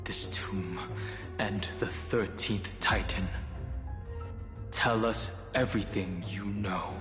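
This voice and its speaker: A man's voice: on the low side, fairly even intonation, slow. An actor playing a serious, guarded young man in a video game, terse, grave and demanding. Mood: stern, wary. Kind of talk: dramatic video game voice acting. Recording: echoey hall reverb under loud music.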